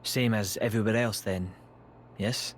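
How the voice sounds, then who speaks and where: An actor playing a courteous, grave army commander in a video game cutscene, speaking in a formal, measured way. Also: male voice, lowish voice, fairly even intonation, slight background noise, natural voice.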